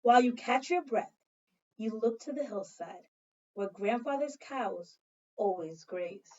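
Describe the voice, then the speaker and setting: Slow, high-voiced woman teacher reading aloud, distant sound. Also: expressive, a little mellow. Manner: dramatic storytelling, prompting listeners with questions. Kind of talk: expressive children's read-aloud and lesson. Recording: dull lo-fi sound, distant from mic.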